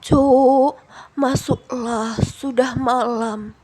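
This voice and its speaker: A woman voicing a gentle, patient old village grandmother in a storybook, with a slow, drawn-out, soothing delivery. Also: high-pitched, fairly even intonation, slightly rough, full character voice. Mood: calm, inviting.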